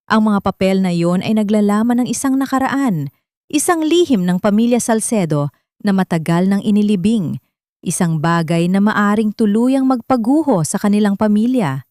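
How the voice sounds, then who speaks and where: A woman's voice: medium pitch, a little mellow, dry recording, clean studio sound. A woman with a Filipino accent reading scripted dramatic narration for an audio love story.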